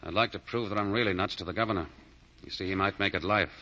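An actor playing a cool, wry convict with a laconic manner in 1940s radio style. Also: male voice, deep voice, fast, pushed and bright. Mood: wry, resigned. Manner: laconic, understated. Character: cool, wry, smooth-talking condemned convict. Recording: dull 1940 radio broadcast recording.

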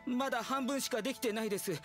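A man's voice: extremely high pitch, fast talker. A man playing a polite, worried funeral parlor assistant in a Japanese anime game, deferential and doubtful. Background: game music.

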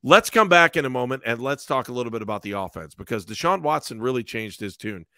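A man's voice: mid-range voice, lively intonation, fast talker, sharp tone, clean tone, no echo, studio quality. Teasing middle-aged man on a podcast. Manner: teasing, sarcastic, joking. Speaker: podcast co-host. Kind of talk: casual sports podcast banter. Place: small home room. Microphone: headset and desk microphone on video call.

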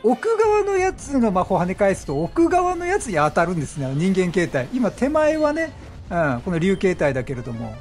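A male gaming commentator with a high-pitched voice that swings widely as he talks. He explains his play in a chatty, excitable way. Faint music plays behind him. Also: moderate pace. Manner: chatty, animated, exclaims at the action. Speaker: gaming streamer commentating gameplay.

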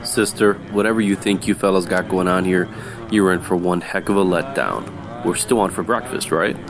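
A hard-boiled detective played by a man narrates in a deep voice over music. He is deadpan, slangy and unfazed, in the manner of an old-time radio noir serial.

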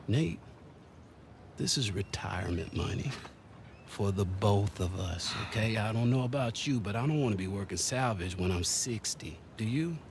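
Middle-aged salvage boss in a game, lowish voice, earnest, coaxing, noisy ambience behind. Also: male voice, expressive, measured pace. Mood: pleading. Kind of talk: naturalistic video game cutscene acting. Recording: clear ambient noise behind.

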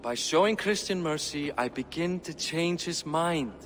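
Dignified Viking king, slightly high-pitched. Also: lively intonation, fairly bright tone, long reverb tail, slight background noise. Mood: earnest, calculating. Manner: formal, weighty, deliberate. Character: weary, shrewd middle-aged Viking king, dignified. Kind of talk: dramatic video game cutscene acting.

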